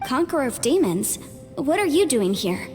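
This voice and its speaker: A dutiful young professional woman explains things formally and earnestly in a fairly high voice. The game dialogue carries a long reverb with music behind it. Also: big pitch swings, moderate pace. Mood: observant. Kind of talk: video game cutscene acting.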